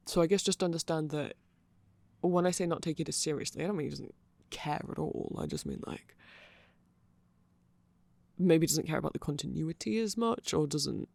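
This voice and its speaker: Man as a creaky-voiced, nerdy, rambling vampire. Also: on the high side, darkish tone, no echo. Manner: halting, self-deprecating rambling with small laughs. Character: nerdy, hesitant, friendly male vampire music fan. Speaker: ASMR audio roleplay performer. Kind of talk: ASMR roleplay, casual rambling chat to one listener. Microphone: close microphone.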